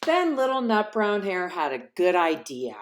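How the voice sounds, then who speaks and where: A woman reading a storybook aloud to young children in an affectionate, expressive, emphatic way. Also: medium pitch, measured pace, slight background noise. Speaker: storybook reader.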